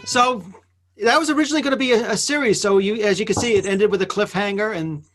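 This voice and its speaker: A man hosting a live event, chatting casually with halting, hesitant phrasing. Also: sits high, a bit bright, soft background music. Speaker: host of a live script-reading event. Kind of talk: casual group chat.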